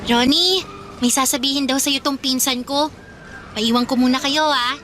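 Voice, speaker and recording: An actress playing a lively, teasing teenage cousin who coaxes and bosses her shy cousin in a radio drama. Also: sits high, moderate pace, slight background noise. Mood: coaxing. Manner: lively, coaxing, bossy. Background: music underneath.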